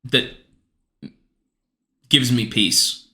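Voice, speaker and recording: A man's voice: medium pitch, fairly even intonation, extremely slow, pushed and bright. A man in his twenties talking earnestly in a casual podcast conversation, rambling with many restarts and fillers. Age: 20s. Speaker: podcast guest.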